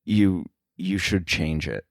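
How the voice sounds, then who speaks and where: A man chatting casually as an interview guest, halting and thinking aloud as he explains. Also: low-pitched, vocal fry, dry recording. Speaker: podcast guest, sound editor.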